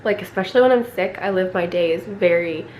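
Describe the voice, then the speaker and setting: A young woman vlogging at home with a raspy voice from a lingering cold, rambling casually and clearing her throat often. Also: on the low side, a little fast, a little mellow, slight background noise, low-quality recording. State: recovering from a cold, coughing. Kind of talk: casual vlog chat. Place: at home, indoors.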